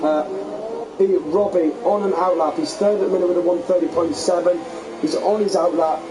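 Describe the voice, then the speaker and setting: Hoarse man calling a race. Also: fairly high pitch, noisy background. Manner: lively, chatty play-by-play. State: losing his voice. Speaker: lead commentator. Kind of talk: sim racing commentary.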